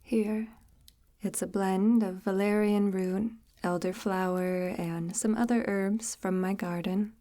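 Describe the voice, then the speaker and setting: A woman plays a witch of the deep woods in an intimate audio roleplay. Her voice is soft, dark and breathy, often sinking toward a whisper. She speaks slowly in formal, old-fashioned phrases, coaxing the listener with a gentle, sinister menace. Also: fairly even intonation, natural voice. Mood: soothing, hospitable. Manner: unhurried, formal, archaic-tinged, gently menacing. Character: soft-spoken, sinister, coaxing forest witch luring a lost traveler. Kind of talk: ASMR audio roleplay, slow intimate monologue.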